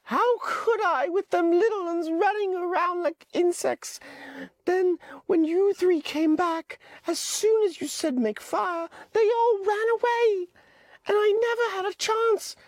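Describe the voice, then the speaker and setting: A woman's voice: extremely high pitch, measured pace, no echo, pitched above the performer's own voice. A woman voicing an anxious, indignant schoolboy with glasses, in a whiny, protesting tone.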